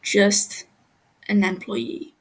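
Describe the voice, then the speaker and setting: A woman voicing a calm, menacing male interrogator in an audio story, deadpan and unhurried. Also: mid-range voice, low-quality recording. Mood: detached.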